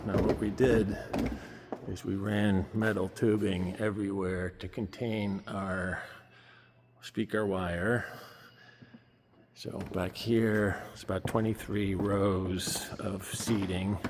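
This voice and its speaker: A man with a low, fairly flat voice presents a project in an informal, explanatory way. He narrates as if giving a casual tour of a large auditorium. Clear background noise runs under his speech. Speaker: nonprofit staffer presenting a project. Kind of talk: casual walkthrough video narration. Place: large school auditorium with balcony, indoors.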